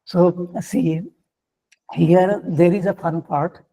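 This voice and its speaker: A man with an Indian English accent giving a workshop lecture, halting with many fillers and restarts, his voice getting hoarse. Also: high voice, fairly even intonation, warm tone, low-quality recording. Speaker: guest lecturer. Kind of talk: online faculty workshop lecture.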